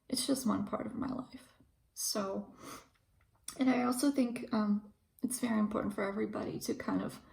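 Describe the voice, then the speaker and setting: A woman in her thirties from Ukraine speaks slowly and thoughtfully, pausing often as she works through her ideas. Her voice has a heavy, gravelly fry, and her pitch sits in an ordinary middle range. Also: darkish tone, poor audio. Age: 30s. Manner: thoughtful, hesitant, many pauses and fillers. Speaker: pharmacist and video host. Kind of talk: reflective casual monologue.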